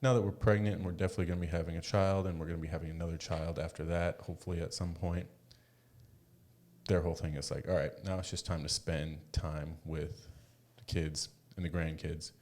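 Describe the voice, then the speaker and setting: A man with a deep, low-pitched voice co-hosts a podcast. He talks informally, in an encouraging, conversational way. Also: little pitch movement, fairly quick, darkish tone. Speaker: podcast co-host. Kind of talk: casual chat.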